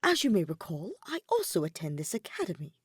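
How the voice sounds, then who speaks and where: A woman voicing a grave, sardonic young male student with a deadpan, sarcastic manner in an audiobook. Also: lowish voice, a little fast, husky, no echo, studio quality, heavily acted. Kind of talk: audiobook character acting.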